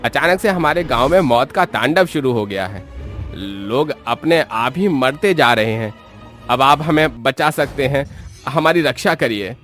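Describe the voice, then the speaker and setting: A man's voice: medium pitch, lively intonation, unhurried, fairly bright tone, pitched above the performer's own voice. A frightened village man in a ghost cartoon, as a narrator plays him. He speaks with anxious, imploring respect. Music plays under the voice. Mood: anxious pleading. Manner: pleading, anxious. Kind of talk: horror cartoon story acting.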